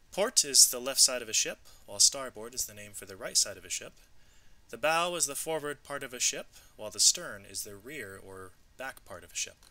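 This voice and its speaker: Male read-aloud narrator, mid pitch, very bright sharp voice, expressive storybook and lesson delivery. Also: rough recording. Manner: expressive, clearly emphasised reading. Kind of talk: educational storybook narration with vocabulary asides.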